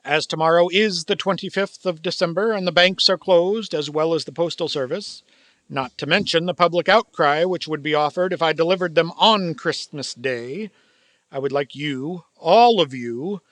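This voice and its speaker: A cold old miser in a radio play, acted by a man, with a high, hard-edged voice in a dry recording. He is formal and condescending, and his words drip with sarcasm. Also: normal speed, a bit bright, dry recording, natural voice. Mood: cold, stern. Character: cold, miserly old male business owner, scornful of Christmas. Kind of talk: theatrical radio play acting.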